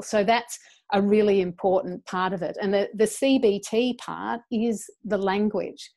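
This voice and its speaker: A middle-aged woman, speaking passionately as a guest over a video call from a small room. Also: medium pitch. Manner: passionate, animated, gestures a lot with her hands. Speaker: guest educator. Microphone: earphone mic on a video call.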